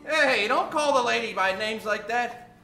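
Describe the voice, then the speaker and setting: Humble war hero, halting earnest delivery, high pitch, roomy echo, old-time radio comedy. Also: male voice, pushed and bright, faint music behind, exaggerated voice. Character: humble, hard-up, gallant returning war hero.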